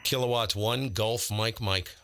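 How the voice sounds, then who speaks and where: Low, bright-voiced man, casual and blunt. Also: steady tone, measured pace, slight background noise. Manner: blunt, informal, uses buddy and man. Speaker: ham radio livestream host and operator. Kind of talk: casual chat and radio contest calling.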